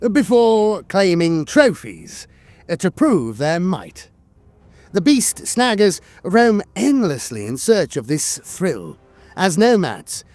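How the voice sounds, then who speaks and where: A man's voice: fairly high pitch, no echo. A man narrating a scripted piece of fantasy lore in a dramatic storytelling manner.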